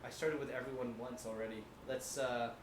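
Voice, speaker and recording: A man's voice: medium pitch, fairly even intonation, brisk. A man hosting a casual panel game with friends, playful and teasing, guiding the chat in an echoey room. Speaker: host. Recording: echoey room, faint background noise.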